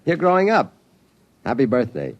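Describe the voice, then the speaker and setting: A man's voice: mid-range voice, huge pitch swings, normal speed, no echo, lo-fi sound. An actor playing a jovial, folksy middle-aged American father in an old-time radio drama. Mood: cheerful, teasing. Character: hearty, teasing middle-aged father of a teenage boy.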